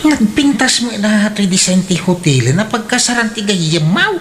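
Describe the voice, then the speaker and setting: A woman plays a practical, gossipy Ilocano wedding guest. Her mid-pitched voice is lively and expressive, in radio drama style. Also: moderate pace, soft background music, natural voice.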